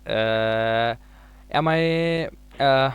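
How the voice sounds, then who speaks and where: A man's voice: medium pitch, extremely slow, heavy background noise. A man in his twenties from Bangladesh, speaking on a video call and searching for words, with many fillers and restarts. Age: 20s. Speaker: English learner chatting with a stranger online. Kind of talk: casual video chat.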